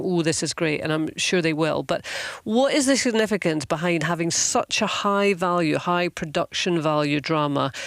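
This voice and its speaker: A man's voice: fairly high pitch, vocal fry, a bit bright, smooth, dry recording, studio quality. A Scottish man hosting a radio interview, asking conversational, probing questions. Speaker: radio host.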